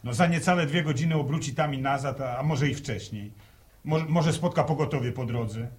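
A man's voice: mid-range voice, moderate pace, lightly acted. An actor playing an earnest, decisive young farmer in a radio drama, speaking plainly and urgently as he takes charge. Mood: impatient. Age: young adult. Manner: urgent, plain rustic speech, commanding.

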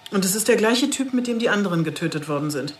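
Crime radio play detective, female actor: brisk, inquisitive, very quick delivery, roomy sound with noise behind. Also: mid-range voice, lively intonation, close to an everyday voice. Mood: businesslike. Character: businesslike woman detective questioning an expert. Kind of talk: radio crime drama dialogue. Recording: echoey room with background noise.

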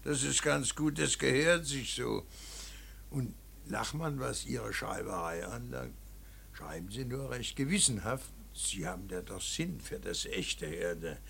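A man acting in a radio drama as an earnest, preachy older painter and father, emphatic, repetitive and halting. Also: medium pitch, animated, a bit bright, a little hiss or hum, heavily acted. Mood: admonishing. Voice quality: gravelly, husky. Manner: emphatic, repeating words, halting.

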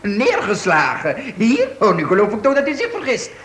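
An actor playing a brisk, evasive nightclub boss in a radio drama. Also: male voice, high voice, wildly swooping pitch, fairly bright tone, roomy sound, heavily acted. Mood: evasive, surprised.

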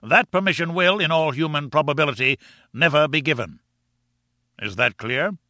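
A man voicing an arrogant, domineering professor in an audiobook, booming and lecturing with insolent condescension. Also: moderate pace, pushed and bright, no room sound, clean studio sound. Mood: commanding. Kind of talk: audiobook character dialogue.

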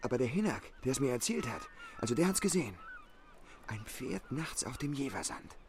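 A man's voice: mid-range voice, big pitch swings, fairly quick. A man in a German radio play acting a gossipy, superstitious villager who tells ghost tales in clipped colloquial phrases. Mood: eerie, excited. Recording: outdoor-sounding scene, honking geese, faint noise.

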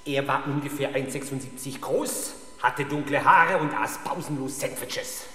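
A middle-aged actor playing a stubborn, embittered police inspector in a 1970s German radio crime play, gruff and sardonic. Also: male voice, mid-range voice, moderate pace, bright, forceful tone, a little hiss or hum, lightly acted. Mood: controlled impatience.

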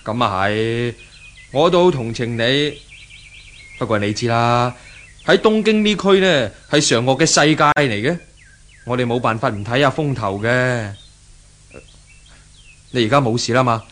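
A man's voice: mid-range voice, measured pace, pauses often, a bit bright, natural voice. A man acting in a 1970s Cantonese radio drama as a sympathetic, cautious passerby, speaking politely with a Hong Kong accent. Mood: cautioning. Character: courteous, cautious male passerby, sympathetic and helpful.

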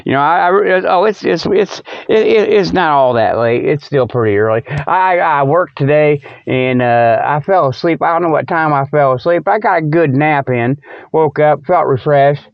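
A man hosting a radio show in a casual, rambling monologue, folksy and halting as he repeats his words. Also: fairly high pitch, lively intonation, creaky, clean tone, dry recording, highs cut off.

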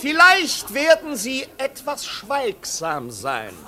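An actor playing a patronising, theatrical master of ceremonies at a costume party in a 1950s radio play. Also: male voice, extremely high pitch, wildly swooping pitch, slow pace, bright, forceful tone, heavily acted. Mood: smug, amused. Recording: noisy 1950s radio recording, party crowd. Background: crowd of party guests.

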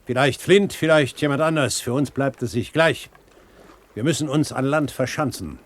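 A man playing an authoritative old pirate captain giving brisk orders in a 1970s German radio drama. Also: medium pitch, natural voice. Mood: commanding. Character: commanding old pirate captain, great-uncle of the narrator.

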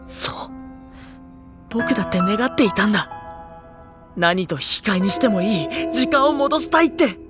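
A sensitive high-school boy with a youthful, high voice. He is earnest and anxious, telling his own story as audio drama, with clear music behind him. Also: lively intonation, normal speed, long pauses. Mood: pained, earnest. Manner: earnest, introspective. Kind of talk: dramatic first-person narration and dialogue.

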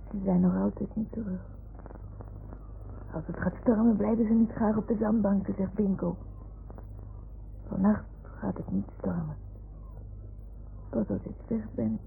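Radio play lead: stubborn, dreamy little boy, creaky and guileless, on a narrow vintage recording. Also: medium pitch. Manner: childlike, earnest, naive storytelling. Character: wistful, stubborn little boy talking to his toys. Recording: tinny, faintly noisy 1960s-70s radio recording.

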